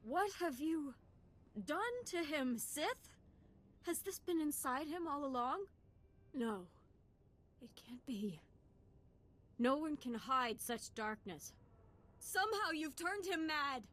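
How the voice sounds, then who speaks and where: A woman's voice: high-pitched, expressive, takes it slowly, pauses often, a bit bright, heavily acted. An actress playing an intense, idealistic young female Jedi apprentice in a video game, with a troubled, earnest and emotional delivery. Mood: defiant. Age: young adult.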